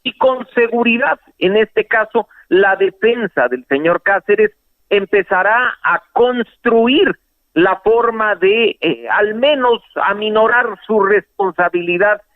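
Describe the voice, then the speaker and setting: A fairly high-voiced man comes through a narrow, tinny phone line. He explains legal matters calmly and at length, with occasional hesitations. The sound is dry and close. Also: slow, dry recording. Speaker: lawyer and legal analyst guest. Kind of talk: phone interview on radio. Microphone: telephone line.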